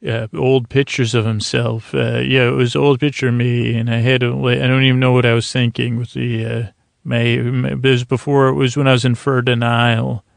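A man hosting a podcast, rambling in a halting, meandering, self-deprecating way with a gravelly voice, as a bedtime monologue. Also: medium pitch, level tone, no room sound. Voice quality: gravelly, creaky. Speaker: podcast host.

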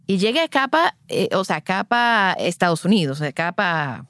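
A woman's voice: on the low side, very animated, moderate pace, a bit bright, no room sound, studio quality. A woman hosting a podcast, introducing and chatting in a conversational interview style. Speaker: podcast host, birth and postpartum educator.

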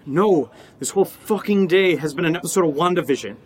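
A man's voice: on the high side, brisk, lightly acted. A man playing a suspicious, unnerved housemate in a naturalistic audio drama, speaking in a wary, conversational way. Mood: angry, exasperated. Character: exasperated, suspicious male housemate, increasingly unnerved by his friend.

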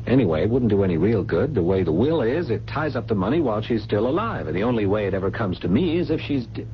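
A weak-willed, tormented heir in a radio thriller. He stammers and pleads, his pitch lurching up and down. The recording is muffled and faintly hissy. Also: big pitch swings, fast. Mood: defensive. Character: weak, tormented rich son under his lover's thumb. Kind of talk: radio mystery drama acting.

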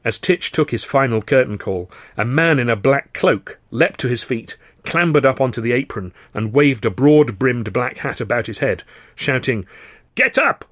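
A man's voice: medium pitch, no room sound, clean studio sound. A man narrating an audiobook of his own novel in the first person, with a droll storytelling manner.

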